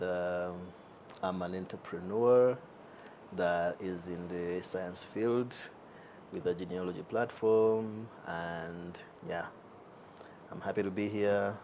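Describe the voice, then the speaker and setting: A man's voice: deep voice, a little mellow, slight background noise. A young man as an interview guest, talking casually with many fillers and easy laughs. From Uganda. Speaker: guest, entrepreneur.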